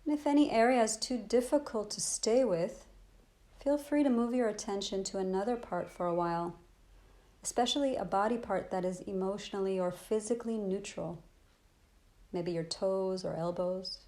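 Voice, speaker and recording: A woman teaching a guided body scan meditation in a gentle, soothing, unhurried manner with long pauses. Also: medium pitch.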